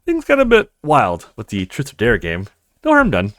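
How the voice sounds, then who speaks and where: Man acting easygoing young guy, visual novel, lightly joking, kind, lively intonation, dry close sound. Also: mid-range voice, moderate pace, dry recording. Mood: reassuring. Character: easygoing young male student, kind best friend.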